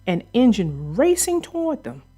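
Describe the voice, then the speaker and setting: A woman narrating a children's book in an expressive read-aloud storytelling style. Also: a little high, moderate pace, slightly rough, faint music behind.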